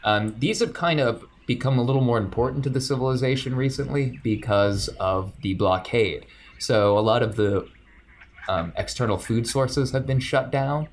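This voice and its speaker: A man at a middle pitch narrates a tabletop game as game master. He talks in a loose, joking way and pads his scene descriptions with plenty of fillers. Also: moderate pace.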